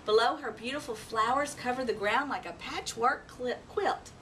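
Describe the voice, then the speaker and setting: Lady doing an animated storytime read, highish voice, noisy distant mic. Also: normal speed. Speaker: storybook reader. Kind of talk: expressive children's story read-aloud.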